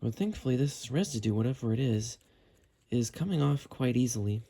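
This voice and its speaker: Guy doing a casual diy walkthrough, mellow mid-pitched voice, explains stuff while he works. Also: animated, fairly quick, creaky. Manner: explanatory, talking while working with hands. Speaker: host of a how-to cleaning video. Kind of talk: casual hands-on tutorial narration.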